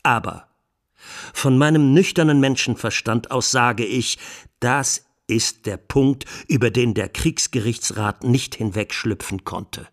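A man playing a defence lawyer in a 1920s trial, delivering a rhetorical, deliberate and emphatic courtroom plea for a radio documentary. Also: mid-range voice, close to an everyday voice. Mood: pointed, assured. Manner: rhetorical, deliberate, emphatic, with dropped phrase endings. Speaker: defence counsel.

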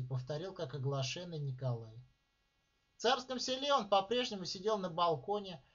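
Guy with a fairly high voice reading his own story slow and deliberate, kinda dull muffled recording. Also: a bit bright. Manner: measured, deliberate reading with dramatic pauses. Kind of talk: literary reading of prose. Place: live reading at a writers' club.

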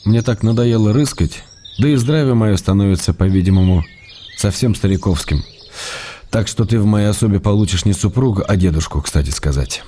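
A man's voice: fairly low pitch, fairly quick, warm tone, slight background noise, close to an everyday voice. A man acting in a radio drama as a wry, tender, weary writer in love, reading his letters with intimate understatement. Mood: fond, resigned.

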